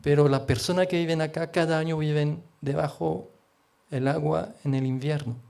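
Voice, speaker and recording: Panelist, male, foreign accent, gravelly and dark-toned, halting delivery. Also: on the high side, fairly even intonation. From outside Chile. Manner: halting, searching for words. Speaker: forum panelist. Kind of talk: radio panel discussion.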